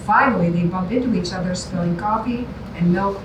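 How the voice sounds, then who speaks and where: A woman's voice: medium pitch, brisk. A woman reading scripted stage directions aloud as the narrator at a staged reading. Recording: echoey, noisy room, distant mic.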